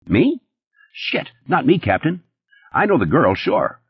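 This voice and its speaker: A man voicing a jittery, boastful, sleazy young playboy who talks fast and nervous, his voice pitched well above his own. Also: wildly swooping pitch, husky, dry recording, dull audio, full character voice. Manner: rapid, nervous, boastful, pitched high and pushy.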